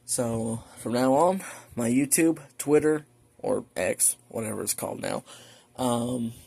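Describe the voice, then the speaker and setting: A man hosting a hobby video, giving a casual, halting, informal show-and-tell narration. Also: medium pitch, vocal fry, darkish tone, husky. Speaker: video host, radio collector.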